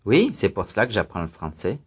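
A man reading scripted student answers and exercise announcements in a language drill, careful and even. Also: on the low side, brisk, slightly rough.

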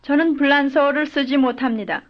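A woman giving careful, measured full-sentence answers as the model speaker in a recorded language drill. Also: high-pitched. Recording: dull lo-fi old lesson tape.

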